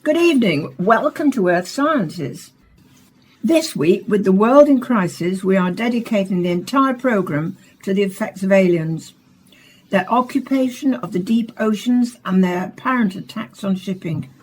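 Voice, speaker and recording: An actress playing a composed, serious science programme presenter, speaking formally and deliberately in a radio drama. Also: female voice, medium pitch, animated, a little hiss or hum, close to an everyday voice, low-quality recording. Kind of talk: radio drama acting as a formal broadcast host.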